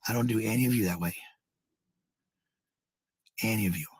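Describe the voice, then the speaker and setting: An American man with a husky, gravelly voice rambling casually to livestream viewers, blunt, sweary and given to repeating phrases. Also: fairly low pitch, moderate pace, darkish tone, no echo, studio quality. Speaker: live streamer.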